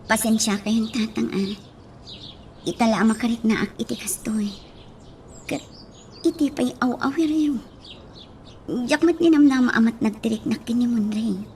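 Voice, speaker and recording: An actress with a Filipino accent playing a hesitant, worried young daughter in a radio drama. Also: female voice, on the high side, a little slow, long pauses, a little mellow, a little hiss or hum. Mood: apologetic.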